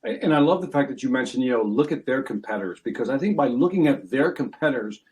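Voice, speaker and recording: Man, podcast host, mid-pitched voice, conversational, restarts sentences, numbered points. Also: lively intonation, fast, a little mellow. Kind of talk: podcast interview.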